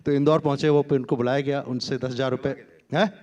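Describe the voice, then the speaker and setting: A man's voice: medium pitch, fairly even intonation, fast talker, darkish tone, dull audio. A man, a doctor on a conference panel stage, telling anecdotes in a chatty way that mixes Hindi and English, laughing often.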